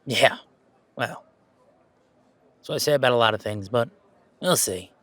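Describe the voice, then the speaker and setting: A man's voice: medium pitch, measured pace, fairly bright tone, no echo. A man playing an affectionate, anxious young gamer in a conversational audio roleplay, talking in a hesitant, rambling way. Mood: fond, rambling. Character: affectionate, anxious, self-deprecating young gamer, wary of family.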